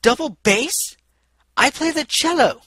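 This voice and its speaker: Man voicing a haughty lady pony mayor, raised pitch. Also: expressive, measured pace, pushed and bright, no room sound, clean studio sound, exaggerated voice. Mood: outraged. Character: irritated, haughty female pony mayor and cellist, skeptical.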